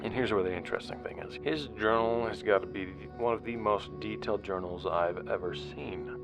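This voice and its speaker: Actor as low-voiced, halting investigator over music. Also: male voice, natural voice. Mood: intrigued, uneasy. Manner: halting, conversational, repeats words. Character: earnest, weary male investigator chronicling outcasts' histories. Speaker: narrator and interviewer. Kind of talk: audio drama narration and dialogue.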